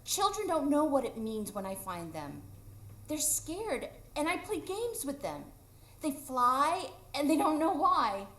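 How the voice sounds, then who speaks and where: A woman's voice: sits high, big pitch swings, measured pace, fairly bright tone, heavily acted. A woman acting a playful, carefree and stubborn ageless boy in a live radio drama. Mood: earnest. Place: improv theatre stage, live audience. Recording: roomy live stage recording.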